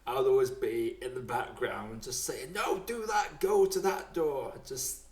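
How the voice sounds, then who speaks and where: A man's voice: medium pitch, a little slow, far from the mic, a crowd in the background. A man hosting a podcast in casual chat, talking in a wry, rambling, conversational way. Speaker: podcast host. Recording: roomy, echoey home recording.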